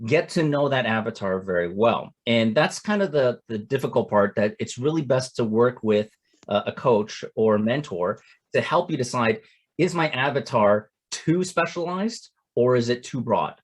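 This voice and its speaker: A man's voice: medium pitch, animated, normal speed. A middle-aged man, coaching an audience on finding investors. He speaks casually, often restarts his sentences and tags them with "right?". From Canada. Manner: conversational, repeats words and restarts, tags "right?". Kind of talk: seminar talk.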